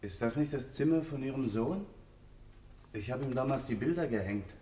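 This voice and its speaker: An actor playing a friendly, impulsive, hard-drinking painter in a German radio drama. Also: male voice, mid-range voice, animated, moderate pace, warm tone, a little hiss or hum, natural voice. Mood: hesitant, subdued.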